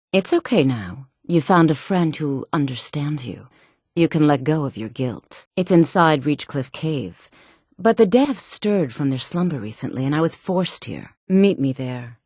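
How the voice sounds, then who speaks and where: An actress voicing a sultry, sly fantasy game woman with a smooth, deliberate, coaxing and quietly menacing delivery. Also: low-pitched, no room sound, studio quality. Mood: soft, coaxing, then solemn, inviting. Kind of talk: video game character dialogue.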